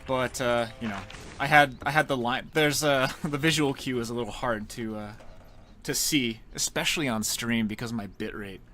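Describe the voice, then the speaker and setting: A man's voice: mid-range voice, fairly even intonation, moderate pace, bright, forceful tone. A man giving casual, tongue-in-cheek live commentary as he speedruns a video game. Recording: clear background noise from game sounds. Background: game sounds and clicks.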